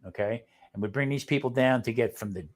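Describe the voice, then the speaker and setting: A man's voice: mid-range voice, fairly quick. A man with a New Jersey accent, chatting and telling stories as a livestream co-host, blunt and rambling, often checking that listeners follow. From New Jersey, United States. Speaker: live show co-host. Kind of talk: casual livestream chat and storytelling.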